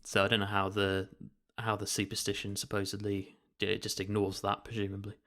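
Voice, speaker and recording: A man with a low voice and very little rise and fall in pitch. He talks as a podcast co-host, explaining casually and qualifying what he says as he goes. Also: completely flat delivery, brisk, fairly bright tone.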